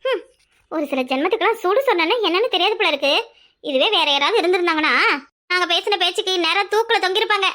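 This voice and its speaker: A woman's voice: very high-pitched, lively intonation, fast talker, a bit bright. A woman playing a spiteful, haughty sister-in-law in a village family drama, scolding and taunting. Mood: contemptuous. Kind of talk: Tamil family drama dialogue.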